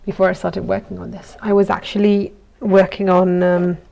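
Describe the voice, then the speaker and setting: Chatty goldsmith woman with gravelly undertone. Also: mid-range voice, flat delivery, moderate pace, warm tone. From Italy. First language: Italian. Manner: chatty, self-deprecating, with frequent ums and small laughs. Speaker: goldsmith streaming from her workshop. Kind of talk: casual live-stream chat while working.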